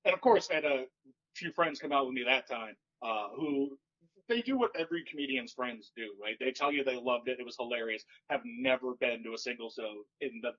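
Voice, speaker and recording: A man who does stand-up comedy, talking with wry, deadpan storytelling in a fairly monotone voice. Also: mid-range voice, brisk, dull audio. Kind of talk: casual podcast chat.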